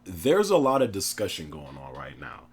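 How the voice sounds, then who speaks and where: A man with a cold, his voice hoarse, chatting excitedly about a video game on his channel, slangy and laughing often. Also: deep voice, normal speed. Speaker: gaming YouTuber. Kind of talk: casual gaming chat.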